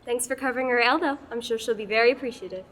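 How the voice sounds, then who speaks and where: A woman's voice: on the high side, racing, noisy background, lightly acted. A teenage actress playing a blunt, sarcastic young farm girl in a school radio play. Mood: brisk. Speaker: student actor. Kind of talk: amateur radio play acting.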